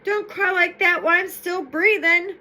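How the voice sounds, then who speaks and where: A woman's voice: very high voice, steady tone, normal speed, bright, forceful tone, voice pushed up, heavily acted. A woman voicing a greedy, boastful male wolf in a folktale, possessive and insistent. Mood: blustering.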